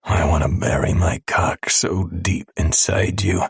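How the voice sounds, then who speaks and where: A woman narrator voicing a rough, possessive male lover with a low, husky rasp and a slow, commanding murmur. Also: dry recording, clean studio sound, full character voice.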